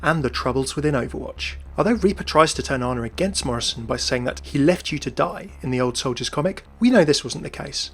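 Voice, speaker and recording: A man's voice: mid-range voice, lively intonation, fairly quick, hardly any pauses, a bit bright, slightly rough. A man presenting scripted video game lore commentary in a chatty, enthusiastic manner.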